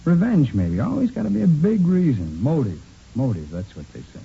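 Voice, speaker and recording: An actor playing a talkative, cocky murder suspect in a vintage radio crime drama, casual and rambling, with repeats and restarts. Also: male voice, fairly high pitch, huge pitch swings, normal speed, creaky, breathy edge, exaggerated voice. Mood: chatty, self-satisfied. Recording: muffled, noisy vintage radio recording.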